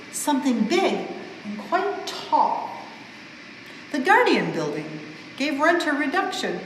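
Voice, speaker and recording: Echoey woman reading rhyming storytime aloud. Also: on the high side. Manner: expressive, measured rhyming delivery. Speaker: museum staff member reading a children's book aloud. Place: indoors in a museum exhibit space. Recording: very echoey reverberant hall, faint background noise.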